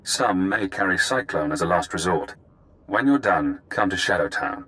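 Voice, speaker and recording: A brisk covert contact in a video game. His low voice is bright and clean, handing out terse instructions. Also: animated, smooth, low-quality recording. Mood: businesslike. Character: brisk male intelligence contact giving covert orders. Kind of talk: video game dialogue acting.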